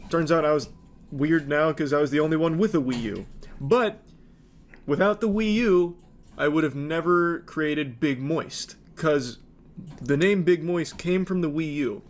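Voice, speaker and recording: A man's voice: on the high side, unhurried. A man talking casually to camera, joking and mock-scolding as he tells a story.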